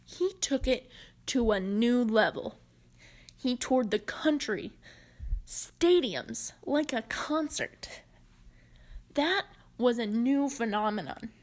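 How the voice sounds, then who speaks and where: A woman presenting a prepared explanatory narration in a measured, deliberate way. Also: on the high side, lively intonation. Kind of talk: prepared video-essay narration on comedy history.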